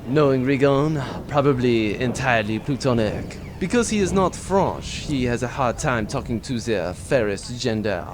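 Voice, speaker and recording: A man's voice: mid-range voice, expressive, moderate pace, hardly any pauses, noisy background, lightly acted. A man playing a melodramatic crew member of a spaceship in a comedic audio drama. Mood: dry, awkward.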